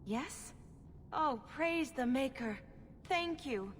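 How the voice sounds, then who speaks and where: Reverb hangs around a meek young elf girl from a fantasy game. Her voice is very high, earnest and pleading, the sound of a scared, naive servant. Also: expressive, takes it slowly. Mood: meek hopeful. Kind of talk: video game voice acting. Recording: long reverb, noisy ambient background.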